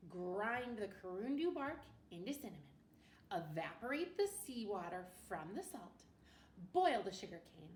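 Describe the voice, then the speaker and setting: Female teacher for kids' storybook read-aloud: very lively intonation, expressive, asks questions of listeners; distant mic with faint noise. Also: fairly high pitch, echoey room. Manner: animated, expressive, pausing to ask the listeners questions. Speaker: elementary school teacher. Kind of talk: picture book read-aloud for children.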